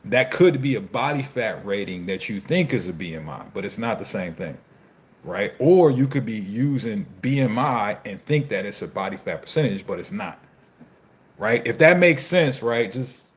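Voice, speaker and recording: A man's voice: fairly low pitch, lively intonation, normal speed. A man in his thirties, a fitness coach, hosting a casual live Q&A, reading viewer comments and answering in a loose, slangy, profane way. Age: 30s.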